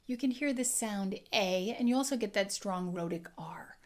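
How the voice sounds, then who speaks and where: A woman dialect coach teaching an accent lesson, explaining clearly and demonstrating sounds. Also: mid-range voice, moderate pace, darkish tone.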